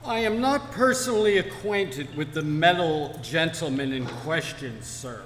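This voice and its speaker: An actor playing an unflappable waiter in a comic stage radio play, formal, pedantic and obsequious. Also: male voice, a little high, animated, a little slow, a bit bright, soft background music. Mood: stiffly polite. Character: unflappable, stiffly deferential male waiter. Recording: echoey hall, live stage.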